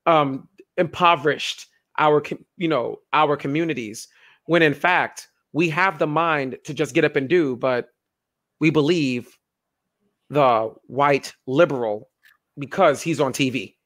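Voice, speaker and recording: A young man co-hosting a casual political chat, talking in an animated way and acting out the people he quotes. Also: measured pace. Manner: animated, acts out quoted voices with pitch jumps. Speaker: podcast co-host.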